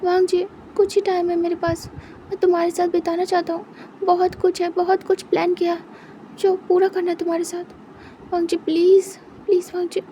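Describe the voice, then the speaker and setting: Possessive young lover, put on by a woman storyteller; high, pushy, pleading, soft and mellow tone. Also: flat delivery, moderate pace, slight background noise. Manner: demanding, then wheedling and pleading.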